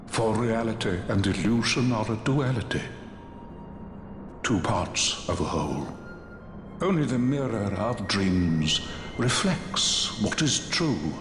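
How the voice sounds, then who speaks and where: An actor playing a serene, ancient holy seer in a video game, speaking in a solemn, measured, cryptic way. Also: male voice, lowish voice. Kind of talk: scripted game cutscene acting. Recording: echoing hall with music.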